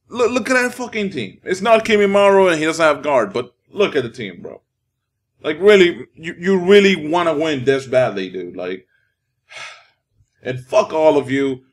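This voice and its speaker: A young man from the Dominican Republic giving casual, profane commentary while playing a fighting game, ranting and easily irritated. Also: mid-range voice. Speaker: gameplay commentator.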